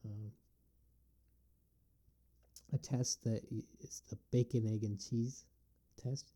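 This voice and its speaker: Very dark-voiced upstate New York man. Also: mid-range voice, steady tone. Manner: rambling, halting, thinks aloud. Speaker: vlogger answering questions. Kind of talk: casual solo musing.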